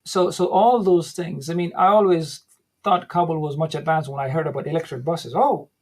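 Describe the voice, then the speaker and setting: A middle-aged man from Afghanistan, speaking as an interview guest, recalling his past in a measured, reflective way with frequent restarts. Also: fairly high pitch, fairly even intonation. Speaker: guest, academic center director. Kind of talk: interview, personal recollection.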